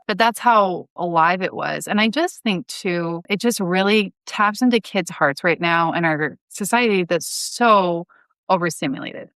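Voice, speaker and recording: A woman in her thirties talks as a podcast guest. Her voice is clear and resonant, sitting a bit low. She is enthusiastic and likes to stress a point, and the sound is close and dry. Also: fairly bright tone, no room sound. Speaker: podcast guest, audio drama writer. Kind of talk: conversational interview answers.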